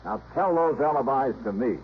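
Casting: actor for probing male investigator, conversational and lively, 1960s radio detective show with clear background noise. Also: medium pitch, moderate pace, natural voice. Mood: pressing. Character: brisk, probing insurance investigator, wry and patient. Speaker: detective and narrator. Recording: muffled, noisy old radio recording.

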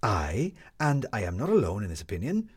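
A man's voice: on the low side, wildly swooping pitch, brisk, breathy edge, exaggerated voice. A man voicing a sceptical woman reporter in a storybook, with pointed, dry, accusing retorts. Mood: dry, sharp.

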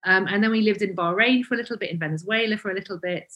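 A woman's voice: mid-range voice, rapid-fire, fairly bright tone. A woman, a guest in an interview, speaking in a self-deprecating, hesitant way with many ums and restarts. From England (Cambridgeshire). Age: 40s. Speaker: guest, founder of a vegan brand. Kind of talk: reflective interview conversation.

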